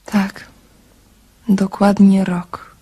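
An actress playing an anxious, lovelorn young woman in a radio drama, confiding her story in a breathy way. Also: mid-range voice, completely flat delivery, extremely slow, dark tone, exaggerated voice. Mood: anxious, dejected.

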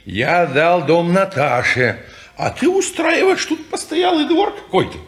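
An actor playing a stern old landlord in an old radio play, grumbling and lecturing. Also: male voice, high-pitched, very animated, measured pace, fairly bright tone, full character voice. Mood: disapproving. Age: older. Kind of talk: 1950s radio play acting.

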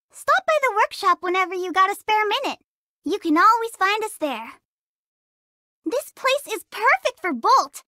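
A tiny, gruff grandma-engineer girl. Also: very high voice, sharp tone, no echo, studio quality, exaggerated voice. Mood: gruff, caring. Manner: grandmotherly teasing, brisk and no-nonsense. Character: elderly female engineer in a small girlish body, gruff but caring. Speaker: game character voice. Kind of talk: video game voice lines.

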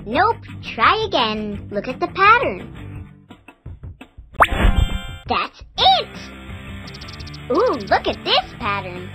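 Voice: high-pitched, huge pitch swings, drawn-out words, pauses often, over loud music, full character voice. A child-voiced performer playing a cheerful, encouraging little girl who coaches young players in a sing-song, exclamatory way in an educational cartoon game.

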